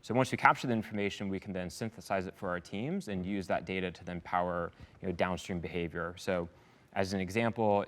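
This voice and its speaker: A man with a very low voice speaks at a rapid clip. He answers like a software executive in a business interview, with measured corporate phrasing and an upbeat take on the work. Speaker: head of sales, success and support at a software company, interviewed as a customer.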